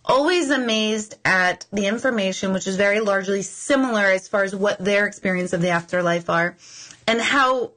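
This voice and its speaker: A woman's voice: medium pitch, normal speed, a bit bright, rough recording. A woman in her forties, a medium hosting a casual live chat with viewers, talking in a rambling way full of asides and mock outrage. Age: 40s.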